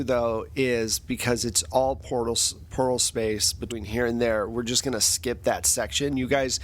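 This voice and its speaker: A man casually narrating a tabletop sci-fi game as its game master, improvising as he goes. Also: medium pitch.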